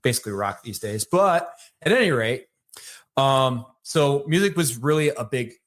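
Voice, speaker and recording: A man's voice: mid-range voice, expressive, moderate pace, fairly bright tone. Man, Michigan accent, middle-aged, casual interview guest, rambling, lots of fillers and false starts. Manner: rambling, many fillers and restarts. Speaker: sales leader, podcast guest.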